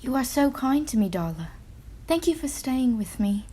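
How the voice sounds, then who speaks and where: A young woman playing a sweet, frail young lady who speaks softly in a radio drama. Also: high-pitched, big pitch swings. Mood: drowsy, grateful. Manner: soft, slow, grateful. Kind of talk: stage-style radio drama acting.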